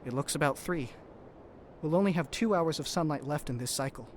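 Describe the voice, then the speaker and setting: An actor playing a loyal young crewman in an audio drama, speaking in a measured, earnest, reassuring way. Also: on the high side, a little hiss or hum, lightly acted. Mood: steady.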